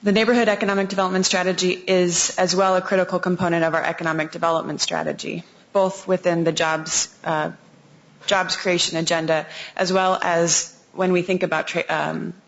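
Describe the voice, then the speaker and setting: A woman with a fairly low voice delivers a polished progress report to a city commission, with only an occasional "um". The sound is muffled and lo-fi, as recorded in a public meeting room. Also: little pitch movement, brisk, vocal fry. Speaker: city agency staff presenter. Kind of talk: formal presentation to a commission.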